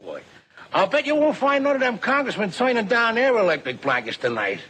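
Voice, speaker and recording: A man's voice: high voice, huge pitch swings, brisk, fairly bright tone. A man delivering a folksy, ranting comic monologue in colloquial speech to a live audience. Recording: noisy live recording with audience. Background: audience laughter and crowd noise.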